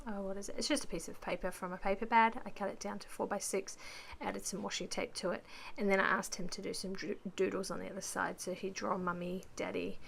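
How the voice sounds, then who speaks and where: A woman with a croaky voice chatting casually through a voice-over about her crafting, rambling with lots of ums. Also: mid-range voice, level tone, a little fast, few pauses, slight background noise. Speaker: scrapbooking vlogger.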